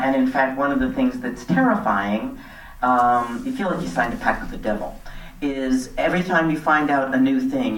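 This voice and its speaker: A woman's voice: rumbling low, fairly bright tone, a little hiss or hum. A middle-aged woman addresses a town meeting as a local resident. She speaks plainly and earnestly, partly off the cuff. The sound is roomy and reverberant, picked up some way from the microphone. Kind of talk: public comment speech, semi-extemporaneous. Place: community center meeting hall. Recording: echoey hall, distant microphone.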